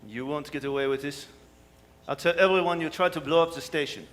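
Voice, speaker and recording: A man's voice: a little high, fairly quick, fairly bright tone, lightly acted. A man playing a suave, scheming French villain with a French accent, courtly, wordy and sardonic, in a radio drama. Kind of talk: staged radio drama performance. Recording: live hall, long reverb, noisy.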